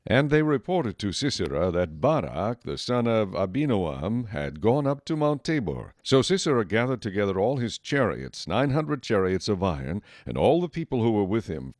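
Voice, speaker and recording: Male narrator, low pitch, expressive range, solemn storytelling, scripted Bible dramatization, dry studio-like sound. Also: normal speed, hardly any pauses, no echo.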